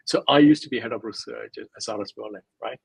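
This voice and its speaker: Brief: male guest, gravelly and husky tone, emphatic and filler-heavy, dry close recording. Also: lowish voice, fast talker, no room sound. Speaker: guest, crypto scientist. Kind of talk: casual interview talk.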